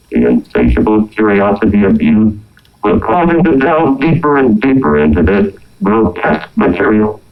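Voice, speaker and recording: A man's voice: lowish voice, narrow, tinny audio. A man reading scripted, moralizing narration, turned into a robotic synthesizer voice by a vocoder. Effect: robotic vocoder with synthesizer tones. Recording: band-limited old recording through vocoder.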